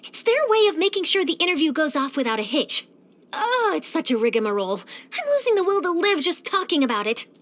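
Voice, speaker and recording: A woman voicing an eager, nosy young female journalist in a video game, chatty, theatrical and expressive. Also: high-pitched, fairly quick. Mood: weary, exasperated. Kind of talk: animated game character voice lines.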